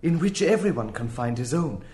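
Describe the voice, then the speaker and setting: An actor playing a fussy, courteous librarian who hesitates and repeats himself. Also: male voice, medium pitch, animated, moderate pace, a little mellow, lightly acted. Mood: fussy polite. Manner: hesitant, polite, full of "uh" and repeated words. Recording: roomy echo.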